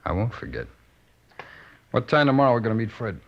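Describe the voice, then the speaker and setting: An actor playing a pushy, bitter small-time thief in an old-time radio crime drama, insistent in manner. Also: male voice, mid-range voice, animated, gravelly. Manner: insistent, hurried. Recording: dull vintage radio recording.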